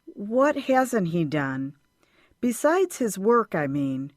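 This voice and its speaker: A woman's voice: medium pitch, very animated, slow, dry recording, clean studio sound. A woman playing a stern, regretful school official in an audio drama. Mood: grave, firm.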